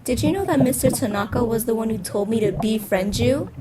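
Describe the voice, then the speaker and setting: A woman's voice: a little high, fairly quick, very noisy, close to an everyday voice. A woman playing a jealous, unhinged teenage class president in an anime fan dub, with a taunting, theatrical delivery.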